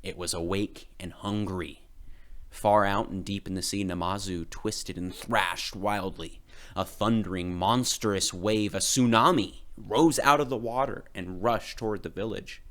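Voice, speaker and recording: A man reading a storybook aloud with expressive, dramatic narration. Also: lowish voice, a little slow. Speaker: storybook reader.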